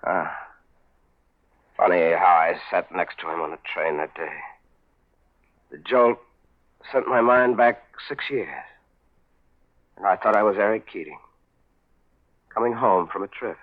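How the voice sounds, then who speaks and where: An actor playing a despairing, fatalistic amnesiac thief in the dramatic style of 1940s radio. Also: male voice, low voice, takes it slowly, pauses often. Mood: bewildered, bitter. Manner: bitter, fatalistic. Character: despairing amnesiac man turned thief, hunted and resigned. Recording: muffled, narrowband 1940s radio recording.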